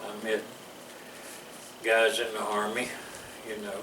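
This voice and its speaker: A man's voice: on the low side, completely flat delivery, slow. An older man with a Southern American accent, telling his service memories in a rambling, folksy way with chuckles between stories. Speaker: veteran interviewee. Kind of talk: recalling memories in an interview. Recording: echoey room, mic far off, clear background noise.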